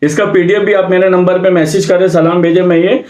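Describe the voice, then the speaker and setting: A man's voice: sits high, level tone, brisk, darkish tone. A man giving an explanatory public address, speaking emphatically and step by step. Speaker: religious committee secretary. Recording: echoey room.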